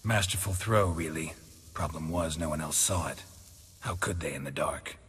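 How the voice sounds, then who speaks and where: An actor playing a weary, sardonic middle-aged monster hunter in a video game, with a low gravelly voice and deadpan delivery. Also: male voice, normal speed, heavily acted. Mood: dryly sardonic. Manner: deadpan, dry.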